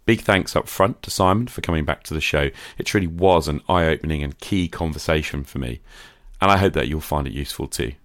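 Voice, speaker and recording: A man's voice: a bass voice, fairly quick, fairly bright tone, dry recording, studio quality. A British man hosting a podcast, rambling and self-deprecating with many fillers, getting over a cold. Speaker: podcast host. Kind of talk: casual podcast chat.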